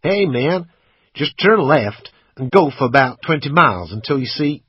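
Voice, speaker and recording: A man voicing a drunk young man in an audiobook, loud and slangy, swinging between cocky and frightened. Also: mid-range voice, very animated, moderate pace, slightly rough, no room sound, lo-fi sound, voice pushed up. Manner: loud, slangy, pleading when scared. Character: drunk young American man at wheel, cocky then terrified. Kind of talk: audiobook character acting.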